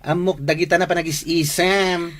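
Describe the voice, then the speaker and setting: A woman playing a teasing, encouraging young best friend in a radio drama, playful and emphatic with drawn-out words. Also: lowish voice, steady tone, lightly acted.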